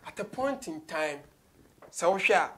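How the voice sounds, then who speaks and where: A Ghanaian man interviews a guest in a relaxed, conversational style. His voice is high for a man, and faint noise sits behind it in the studio recording. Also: takes it slowly. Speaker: radio show host, interviewer. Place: small indoor studio.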